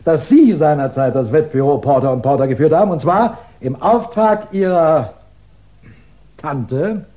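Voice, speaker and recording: A man acting a shrewd English police chief in a 1960s German radio crime drama, deliberate and ironically polite, with musing pauses. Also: fairly high pitch, muffled recording, close to an everyday voice. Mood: politely probing.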